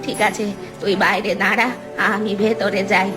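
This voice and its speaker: An old village midwife in a cartoon, acted by a woman, brisk and scolding but caring. She talks plainly and familiarly at a middling pitch, with music clearly playing behind her. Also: steady tone, lightly acted. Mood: impatient. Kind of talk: Bengali cartoon voice acting.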